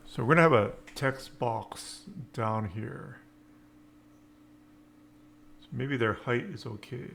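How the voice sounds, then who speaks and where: A man giving an unscripted coding tutorial, thinking aloud in halting, short fragments. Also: medium pitch, gravelly, a bit bright. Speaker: programming tutorial instructor. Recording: noisy with keyboard typing. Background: computer keyboard typing.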